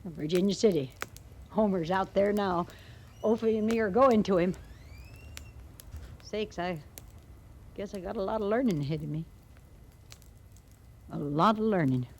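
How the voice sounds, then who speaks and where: A woman's voice: medium pitch, unhurried, pauses often, slightly rough. An actress playing a forthright, folksy woman settler in a 1950s radio western, on a noisy old recording. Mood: musing. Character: outspoken, plain-spoken married woman settler heading west.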